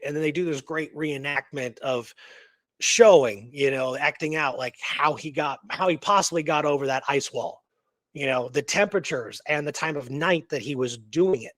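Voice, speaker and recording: A man co-hosting a radio show, talking casually and excitedly in an informal, rambling way. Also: fairly high pitch, no room sound. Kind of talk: casual enthusiastic discussion.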